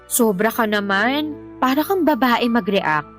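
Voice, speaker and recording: A woman's voice: a little high, lively intonation, measured pace, fairly bright tone, faint music behind. An actress playing an affectionate, exasperated young Filipino woman in a radio drama, teasing and emphatic as she talks to her partner.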